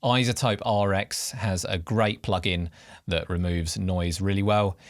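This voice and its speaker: A man's voice: sits low, steady tone, measured pace, no room sound, clean studio sound. A man presenting scripted tutorial narration in an informal, explanatory way, giving stress to key headings. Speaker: presenter of podcast production tips.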